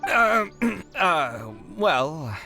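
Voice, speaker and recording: Expressive, earnest young male scholar. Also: on the high side, very slow, heavily acted. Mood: flustered, defensive. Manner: dramatic, stammering when put on the spot. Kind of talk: video game character acting. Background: music.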